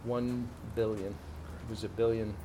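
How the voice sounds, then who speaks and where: A man with a low voice answers questions at a press conference. He hesitates and fills gaps with uh and you know. Clear background noise runs under the field recording. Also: little pitch movement. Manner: halting, filler-heavy answers.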